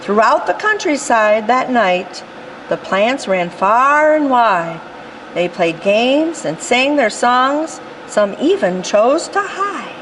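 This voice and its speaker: A woman reads a rhyming picture book aloud, taking her time over every line. Her fairly high voice rises and falls in a lively, sing-song way. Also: a little hiss or hum, poor audio. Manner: slow, expressive storytelling. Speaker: storytime reader.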